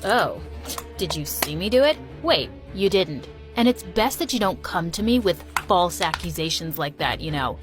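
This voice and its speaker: A woman plays a spoiled teenage bully in an animated story. He sneers and taunts in a deep, lively voice. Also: moderate pace, over music, close to an everyday voice. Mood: sneering. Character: smug bullying rich teenage boy.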